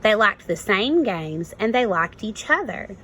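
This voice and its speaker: A woman, a kindergarten teacher, reading a storybook aloud and pausing to explain it simply to young children. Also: mid-range voice, very animated, fairly bright tone, slight background noise, low-quality recording.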